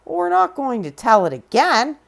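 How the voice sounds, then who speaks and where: A woman's voice: sits high, very animated, normal speed, gravelly, pitched above the performer's own voice, heavily acted. A woman voicing a bold, impatient young girl in a storybook read-aloud. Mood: bossy.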